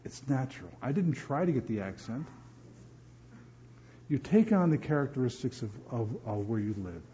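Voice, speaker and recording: A man from New Jersey preaching a sermon as pastor, animated and often repeating words as he builds emphasis. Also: mid-range voice, moderate pace, dull audio, a little hiss or hum.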